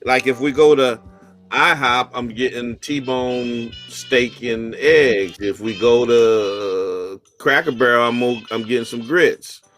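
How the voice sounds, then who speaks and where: Casual male talk radio host, easygoing, middle-pitched voice, faint music bed. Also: fairly bright tone. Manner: loose, joking, drawn-out sign-offs. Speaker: radio show host and bishop.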